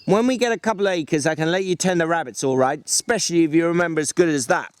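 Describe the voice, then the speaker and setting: A sharp, bossy ranch hand who looks out for his partner, as a male reader acts him. He speaks in plain folksy talk, pitched up, giving instructions in a dramatic storybook telling. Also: fast talker, clean tone, lightly acted. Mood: instructing.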